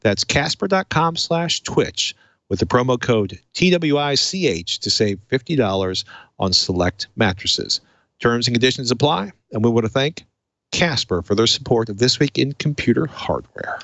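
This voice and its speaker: A man's voice: medium pitch, expressive, moderate pace, fairly bright tone, no echo. A man hosting a tech podcast, talking in a conversational, emphatic way. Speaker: podcast host. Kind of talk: casual tech podcast talk and host-read ad.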